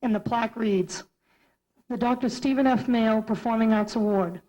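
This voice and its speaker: A woman's voice: on the high side, moderate pace, dark tone, dull audio. A woman music teacher giving a formal ceremony speech in a school auditorium, reading prepared remarks.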